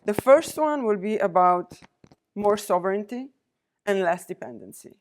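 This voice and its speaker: Measured woman lecturing. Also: medium pitch, expressive, slight background noise. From Poland. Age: 40s. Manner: measured, deliberate delivery with pauses between listed items. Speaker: guest lecturer, diplomat. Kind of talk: prepared university lecture. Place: university lecture venue.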